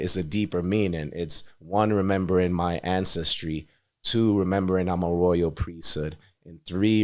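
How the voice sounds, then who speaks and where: A man from Jamaica, a guest artist in a casual interview, talking in an earnest, reflective way with easy chuckles. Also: low-pitched.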